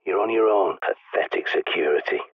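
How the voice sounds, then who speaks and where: A gruff, sardonic British survivalist over a tinny radio. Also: male voice, sits low, a little fast, no room sound, heavily acted. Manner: sardonic, blunt, swears often. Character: gruff male survivalist radio guide, cynical. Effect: radio transmission. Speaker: radio guide character. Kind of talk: video game voice acting.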